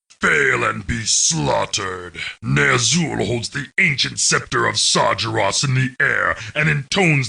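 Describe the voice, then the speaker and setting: A forceful, sharp-edged orc warlord narrates a fantasy game briefing in a deep, heavily gravelled voice. He is stern and ominous, issuing commands with grandiose theatrical weight. The voice sounds set back from the mic. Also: far from the mic, exaggerated voice. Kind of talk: dramatic scripted mission briefing narration.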